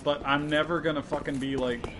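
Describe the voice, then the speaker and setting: A man's voice: on the high side, steady tone, a little fast. A man commenting casually on a video game as he plays, jokey and freely swearing. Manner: profane, jokey. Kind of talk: casual gameplay chat. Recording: very noisy, constant loud background hum.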